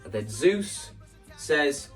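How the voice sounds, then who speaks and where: A man explaining his staging ideas to camera in a casual, animated way, like a video creator walking viewers through a plan. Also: mid-range voice, very slow. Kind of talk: casual spoken walkthrough to camera. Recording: voice distant from the mic, music underneath.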